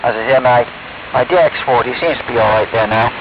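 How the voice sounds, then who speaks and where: Older ham operator over hissy shortwave. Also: male voice, mid-range voice, fast talker, dull audio. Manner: chatty, rambling, self-deprecating. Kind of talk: casual on-air radio chat. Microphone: radio transceiver mic heard over the air. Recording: noisy, band-limited shortwave radio hiss.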